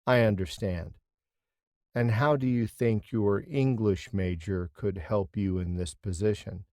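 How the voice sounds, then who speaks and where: A man reading the interviewer's part in a scripted practice job interview, asking plain, steady questions. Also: fairly low pitch, unhurried, no echo, studio quality.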